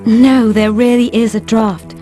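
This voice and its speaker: A woman's voice: fairly high pitch, flat delivery, unhurried, over music, close to an everyday voice. An actress playing a proud, defensive young lady, tartly dismissive, in a game cutscene. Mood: indignant, flustered. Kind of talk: scripted video game cutscene acting.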